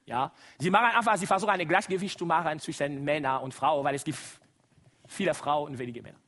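A man's voice: fairly high pitch, quick pace, a bit bright. A middle-aged man from Congo with a Congolese accent, whose first language is Lingala, preaching a sermon to a congregation, exclaiming and laughing often. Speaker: guest preacher.

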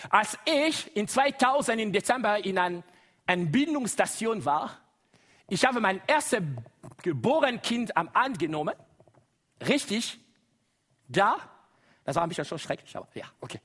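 A man's voice: high-pitched, sing-song, unhurried, long pauses, fairly bright tone. A middle-aged man from Congo with a Congolese accent, whose first language is Lingala, preaching a sermon to a congregation, exclaiming and laughing often. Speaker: guest preacher.

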